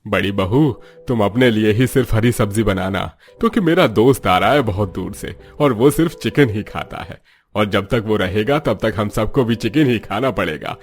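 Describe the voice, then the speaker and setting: A man's voice: on the low side, moderate pace, natural voice. A man voicing an older, food-loving father-in-law who bosses his family in a cartoon story. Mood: instructing. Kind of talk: Hindi cartoon story dialogue.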